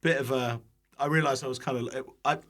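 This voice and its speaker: A man's voice: medium pitch, fairly even intonation, brisk, a bit bright. Self-deprecating young British man chatting. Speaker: podcast guest, online cooking creator. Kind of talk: casual chat.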